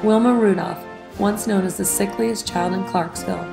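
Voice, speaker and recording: A woman narrating a children's story from a script with expressive, dramatic phrasing. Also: medium pitch, normal speed, creaky. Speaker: children's read-aloud narrator. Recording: music behind the voice. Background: background music.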